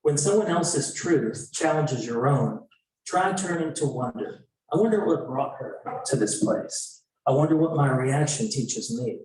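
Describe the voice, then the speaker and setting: Male co-facilitator, mid-pitched, measured phrasing, reading prepared text in a very reverberant room, away from the mic. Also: animated, darkish tone. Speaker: event co-facilitator. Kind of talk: reading prepared discussion guidelines aloud. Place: indoor event room. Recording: very echoey room, distant mic.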